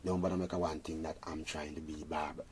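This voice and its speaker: A man's voice: extremely low pitch, level tone, fairly quick, a little mellow, slight background noise. A Jamaican man, a reggae singer being interviewed, talking in an earnest, rambling way with patois phrasing. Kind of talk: casual interview talk.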